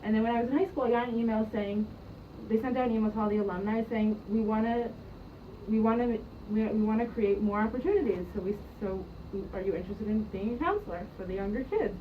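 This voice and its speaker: A woman's voice: fairly high pitch, quick pace. A young woman who grew up in Jerusalem, telling her story in a hesitant, casual way with many ums and restarts. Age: young adult. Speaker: interviewee, youth program staff member. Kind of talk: casual spoken recollection. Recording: distant mic, muffled, noisy.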